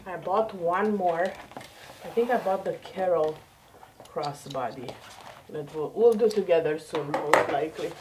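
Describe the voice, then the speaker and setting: A woman's voice: on the low side, unhurried, far from the mic, heavy background noise. A woman with a slight cold that makes her a bit nasal, chatting casually through a sewing tutorial at home. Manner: chatty, self-deprecating, talks while working. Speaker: sewing vlogger. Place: home craft room.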